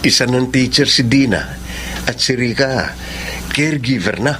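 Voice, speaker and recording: A man's voice: medium pitch, lively intonation, measured pace, a bit bright, a little hiss or hum. An actor playing a humble, grateful poor father and husband in a Filipino radio drama. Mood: proud, happy.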